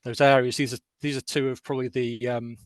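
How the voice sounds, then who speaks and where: A man speaking as a guest on a webinar from an office over a video call, talking quickly with many restarts and fillers. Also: mid-range voice, level tone, no room sound. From not from the Northeast of England. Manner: fast, with frequent restarts and fillers. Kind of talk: webinar talk.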